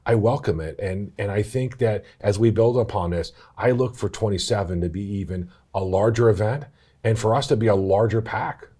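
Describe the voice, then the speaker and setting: A man's voice: on the low side, moderate pace, mellow, breathy edge. A man speaking as an interview guest in a casual, emphatic way, often repeating his phrases. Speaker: interview guest, business PAC chair.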